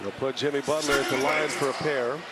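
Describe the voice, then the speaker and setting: A man's voice: medium pitch, flat delivery, brisk. A man calling basketball play-by-play in live sports commentary. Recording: broadcast under loud arena noise. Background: loud basketball arena ambience.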